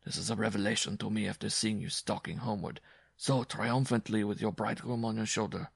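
A man's voice: fairly low pitch, fairly even intonation, a little fast, vocal fry, slightly rough, dry recording, clean studio sound. A man doing a concerned circus bareback rider. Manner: soothing, persuasive.

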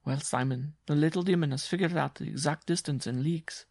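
A man reading a story, voicing an exhausted, frightened circus strongwoman in a raised, pleading voice with a gravelly, husky edge. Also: a little high, a little fast, a little mellow, no room sound, studio quality, pitched above the performer's own voice. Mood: weary pleading. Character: worn-out desperate circus strongwoman, young adult.

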